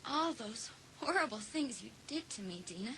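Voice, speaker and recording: Actress as emphatic teen heroine in horror audio drama; lively swooping delivery, forceful edge, slight background noise. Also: female voice, a little high, moderate pace, poor audio. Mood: accusing, triumphant. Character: determined, accusing teenage girl confronting a treacherous friend.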